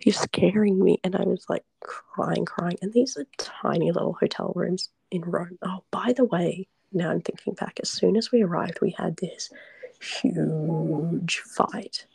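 A woman whispering a hard personal story, halting and restarting often, shaken and trembling as she talks. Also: fairly low pitch, vocal fry, a little mellow, slightly rough, dry recording, poor audio. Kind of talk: whispered confessional conversation.

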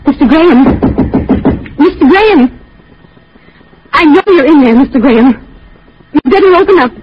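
A woman's voice: high voice, expressive, moderate pace, over music. An actress playing an anxious, insistent female lodger in a vintage radio drama, urgent and hesitant. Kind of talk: 1940s radio acting. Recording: muffled, noisy old radio recording.

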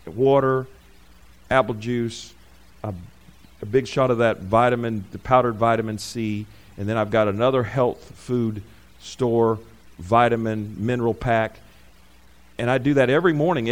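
A male preacher speaking off the cuff to his congregation, in a voice of ordinary middle pitch. He rambles and corrects himself mid-phrase, then rises loud and high when he drives a point home. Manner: rambling, self-correcting, calls for amens. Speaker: pastor.

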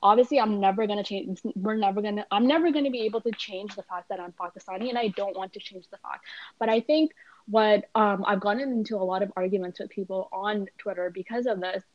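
A woman's voice: mid-range voice, fast, lo-fi sound. A young woman, a student, in a casual conversation, talking in a chatty way full of "like" and "you know.". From Canada.

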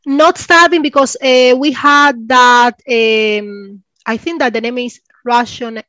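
Give me a fairly high-voiced Cuban woman in her forties telling her story in accented English. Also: steady tone, slow pace, pushed and bright, no room sound. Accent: Cuban Spanish. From Cuba. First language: Spanish. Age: 40s. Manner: searching for words, laughing often. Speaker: guest. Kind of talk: interview conversation.

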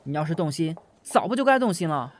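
Man acting a chatty, high-voiced young office worker. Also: very animated, fast talker, no echo. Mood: teasing. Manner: chatty, playful. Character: chatty young male office worker, teasing, eager, nosy. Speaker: voice actor in an audio drama. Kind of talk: audio drama acting.

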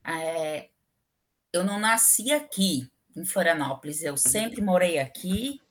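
A woman's voice: lowish voice, animated, takes it slowly. Chatty middle-aged southern Brazilian woman. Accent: southern Brazilian Portuguese. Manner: chatty, opinionated, many fillers. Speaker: civil engineering professor, guest. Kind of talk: casual interview talk.

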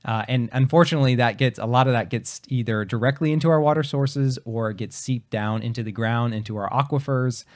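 A man of middle pitch co-hosting a home-health podcast. He explains things step by step in a laid-back way, with some restarts, his voice just back after he lost it. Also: a little fast, few pauses. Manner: explanatory, many fillers and restarts. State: recovering from a lost voice. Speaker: podcast co-host. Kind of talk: casual chat.

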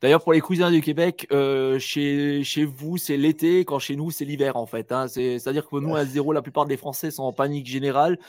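Lively man talking football, dry sound. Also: on the high side, no room sound. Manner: animated, teasing, asks rapid questions. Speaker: podcast co-host. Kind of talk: casual sports chat.

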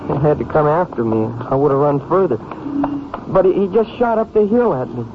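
Shaken, halting young man. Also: fairly high pitch, big pitch swings, phone-quality sound. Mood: shaken, nervous. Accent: American. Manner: halting, tentative, stammering. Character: shaken, polite, hesitant twenty-year-old young man, wounded witness. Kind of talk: 1950s radio drama acting. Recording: thin, noisy 1950s radio recording.

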